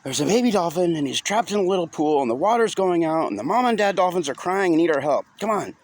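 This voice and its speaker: Man acting frantic little boy, pitched way up. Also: animated, fast. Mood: frantic pleading. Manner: urgent, whiny pleading. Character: frantic little boy, pleading, tearful and earnest. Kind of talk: acted dialogue in an audiobook memoir.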